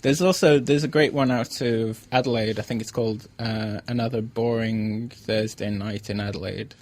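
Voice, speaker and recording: An Australian man co-hosting a podcast, chatting casually in a halting, thoughtful, informal way. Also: on the low side, steady tone, a little mellow, a little hiss or hum. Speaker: podcast co-host, interviewer. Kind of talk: casual chat.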